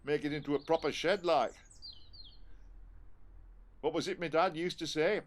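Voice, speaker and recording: A man's voice: a little high, steady tone, moderate pace, lightly acted. A man playing a working-class husband in an audio play, with a colloquial, homely, coaxing way of talking. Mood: chummy rambling.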